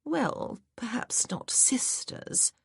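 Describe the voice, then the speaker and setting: A narrator voicing a prim young fiancée who speaks softly and breathily. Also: female voice, medium pitch, unhurried, creaky, husky, no echo, pitched above the performer's own voice.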